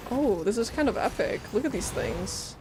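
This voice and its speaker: A woman's voice: a little high, animated, moderate pace, noisy background. A woman livestreaming a game, giving casual, informal commentary and short reactive asides. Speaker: live game streamer. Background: game music.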